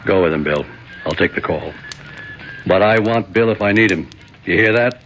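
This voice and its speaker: An actor playing a troubled, authoritative American senator, grave and terse, in a 1970s radio drama. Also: male voice, deep voice, moderate pace, fairly bright tone, faint music behind. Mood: stern. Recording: vintage radio with faint noise.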